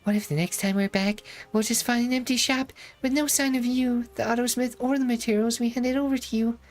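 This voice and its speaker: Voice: mid-range voice, steady tone, a little fast, voice pushed up, close to an everyday voice. A streamer voicing a small childlike floating companion from a game, chirpy, eager and suspicious. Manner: bright third-person patter, eager. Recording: background music.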